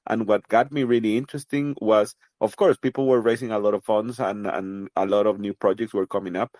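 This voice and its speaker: A man's voice: fairly low pitch, fairly even intonation, fairly quick, a little mellow, no echo. A man from Costa Rica with a Costa Rican Spanish accent, a native Spanish speaker, talking as a podcast guest in a thoughtful, casual way with many fillers and restarts. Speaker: guest, crypto project representative. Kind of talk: casual interview conversation.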